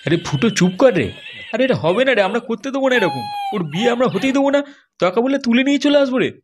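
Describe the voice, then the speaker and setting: A man's voice: extremely high pitch, big pitch swings, quick pace. A man playing a bossy, loyal young friend in a comedy cartoon, urgent and insistent. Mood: reassuring.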